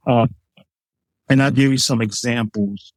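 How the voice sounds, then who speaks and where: A man's voice: mid-range voice, steady tone, unhurried, dry recording. A middle-aged man from Liberia, a chef talking through his food with plenty of examples and fillers. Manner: easygoing, explains with examples, many fillers. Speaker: chef, guest. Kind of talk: casual interview talk.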